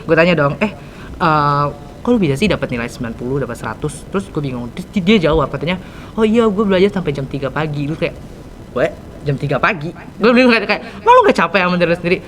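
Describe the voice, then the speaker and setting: A man's voice: fairly high pitch, lively intonation, fast talker, a bit bright, slight background noise, low-quality recording. Teenage boy hosting, filler-heavy. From Indonesia. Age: teens. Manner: slang-heavy, many fillers. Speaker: student podcast host. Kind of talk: casual podcast interview.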